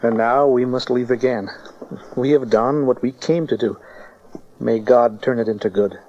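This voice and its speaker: A man playing a hearty eastern traveller in a dramatized audio Bible, with a booming, exclamatory delivery. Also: normal speed, dark tone, natural voice. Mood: wondering. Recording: dull cassette, crowd and animals.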